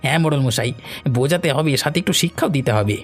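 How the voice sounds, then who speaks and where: Man acting a fast-talking, hot-headed village henchman. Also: a little high, animated, faint music behind. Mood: agitated, fawning. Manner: fast, agitated, goading. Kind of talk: cartoon dialogue.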